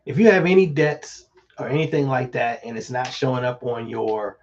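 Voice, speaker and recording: A man, slightly hoarse, giving casual real estate advice in a relaxed, conversational way with many fillers. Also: medium pitch, normal speed, lo-fi sound, a little hiss or hum. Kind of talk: casual live-stream advice talk.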